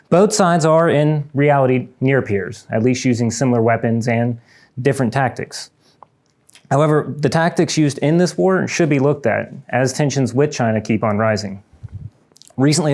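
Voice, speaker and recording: A man's voice: medium pitch, darkish tone. Young guy from ukraine giving a talk, earnest, reads off his notes, trips a bit. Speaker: student lecturer. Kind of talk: prepared lecture. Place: lecture room.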